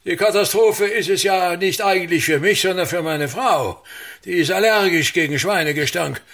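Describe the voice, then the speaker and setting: Radio drama: grandiose, bitter old thespian, high-pitched and husky, declamatory grumbling, music underneath. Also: male voice, moderate pace, fairly bright tone. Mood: complaining. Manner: declamatory, grumbling, swinging from mutter to outburst. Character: pompous aging state actor, bitterly grandiose theatre tyrant. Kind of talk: theatrical radio-play monologue.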